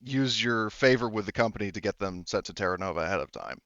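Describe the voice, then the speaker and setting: Low, smooth-voiced man running a game. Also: fairly bright tone, dry recording, highs cut off. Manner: rambling, halting, many self-corrections. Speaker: game master. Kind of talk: casual tabletop game narration.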